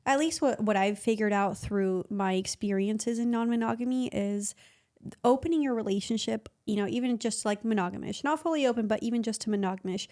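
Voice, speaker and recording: A woman's voice: medium pitch, a little fast, a little mellow. A woman co-hosting a podcast, sharing reflective opinions with many hedges and likes. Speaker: podcast co-host. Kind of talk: casual opinion chat.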